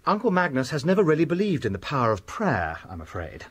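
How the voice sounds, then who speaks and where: Well-bred young nephew in radio play, sceptical, husky, talks fast. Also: male voice, fairly high pitch, huge pitch swings, exaggerated voice. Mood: politely sceptical.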